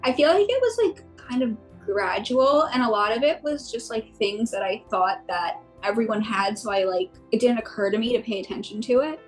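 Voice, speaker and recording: A woman's voice: a little high, expressive, moderate pace, few pauses. A woman in her late twenties speaks casually and reflectively. Faint music sits underneath her voice. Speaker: interviewee.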